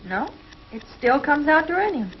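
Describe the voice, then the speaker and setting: A woman playing an earnest, precise space crew scientist in 1950s television adventure style, with crisp delivery. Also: sits high, sing-song, normal speed. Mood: serious, puzzled. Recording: dull, noisy 1950s TV film soundtrack.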